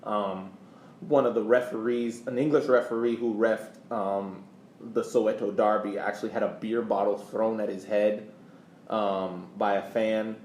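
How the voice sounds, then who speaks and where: Man, podcast host, lowish voice, casual sports talk, roomy echo, light background noise. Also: fairly even intonation, unhurried, darkish tone.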